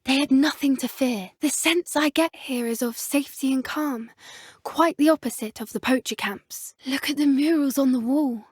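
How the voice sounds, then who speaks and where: A woman with a British accent playing an earnest, gentle teenage witch student in a video game, soft-spoken and politely phrased. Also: high voice, normal speed, no room sound, clean studio sound, close to an everyday voice. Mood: earnest, reflective. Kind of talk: video game character dialogue.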